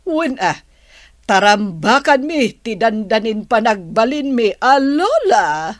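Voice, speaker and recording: A jolly, assured middle-aged Ilocano mother in a radio drama. She takes her time and moves her pitch around a lot, in a dry studio sound. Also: slow pace, no room sound. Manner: expressive, prone to chuckling. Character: jolly, assured mother and soon-to-be grandmother who likes drinks.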